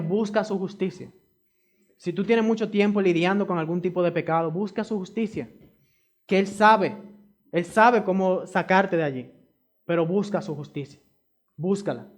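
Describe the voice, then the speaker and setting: Emphatic high-voiced preacher. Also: male voice. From Dominican Republic. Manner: emphatic, repeats key phrases; addresses the congregation directly. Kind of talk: sermon.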